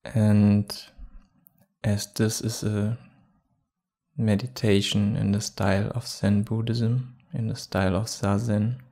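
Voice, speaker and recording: A man guiding a meditation calmly and without hurry, leaving long pauses between short phrases. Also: fairly low pitch, creaky. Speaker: meditation guide. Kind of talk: guided meditation.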